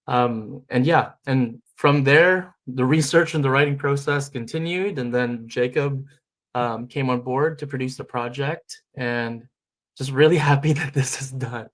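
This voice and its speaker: A man from the Philippines speaks at a middle pitch as a filmmaker guest in an interview. He talks in a reflective, unhurried way, pausing on "um" and restarting phrases as he gathers his thoughts. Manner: thoughtful, many fillers and restarts.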